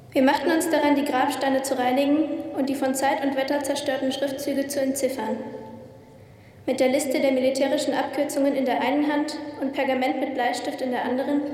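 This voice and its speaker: A woman's voice: high voice, a little mellow. A young woman from Ukraine, a student, earnestly reading a prepared speech. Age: young adult. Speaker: student, workcamp leader. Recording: very echoey hall, distant mic.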